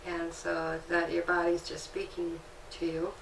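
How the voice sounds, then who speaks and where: A woman working as a Reiki practitioner, calmly explaining while guiding a healing session in an indoor room. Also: on the low side, unhurried, gravelly, a little hiss or hum. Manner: calm, explanatory, gently instructive. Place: indoor treatment room with fan. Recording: echoey room, mic at a distance.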